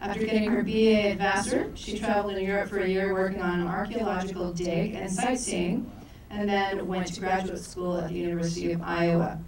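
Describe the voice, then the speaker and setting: Woman emcee, mid-pitched, distant in a very echoey hall, reads bios and trips over words. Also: level tone, brisk, few pauses, a little mellow. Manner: reads bios with stumbles and self-corrections. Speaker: festival co-director introducing speakers. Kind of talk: live stage introduction. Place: large echoey event hall.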